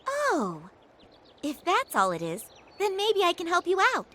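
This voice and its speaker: A woman's voice: very high voice, huge pitch swings, measured pace, background noise, heavily acted. A woman voicing a bossy, sassy catgirl innkeeper in a video game, with a teasing, wry manner. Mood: helpful. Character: sassy, bossy female innkeeper catgirl, older than she looks. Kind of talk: animated video game cutscene acting.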